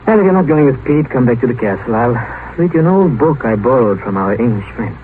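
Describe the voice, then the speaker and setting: A man's voice: mid-range voice, expressive, fast, natural voice. An actor playing an earnest, bantering young man of science in a 1950s radio drama, with an easygoing manner. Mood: teasing. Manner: easygoing, teasing, chuckling. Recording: tinny noisy old radio broadcast.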